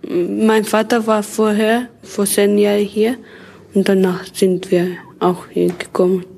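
A woman's voice: medium pitch, flat delivery, a little slow, vocal fry, warm tone, husky. A woman in her twenties from Afghanistan, a native Dari speaker, answering simply and carefully in learner's German. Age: 20s. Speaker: interviewee, evening-school student. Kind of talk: interview answers.

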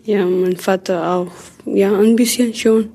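A woman's voice: medium pitch, fairly even intonation, a little slow, dark tone, breathy edge. A woman in her twenties from Afghanistan, a native Dari speaker, answering simply and carefully in learner's German. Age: 20s. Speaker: interviewee, evening-school student. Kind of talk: interview answers.

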